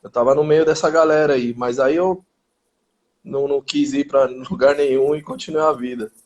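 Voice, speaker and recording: A man in his forties, born in Chile, answering interview questions in a relaxed way with street slang and frequent restarts. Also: mid-range voice, normal speed. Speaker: interview guest. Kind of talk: casual interview chat.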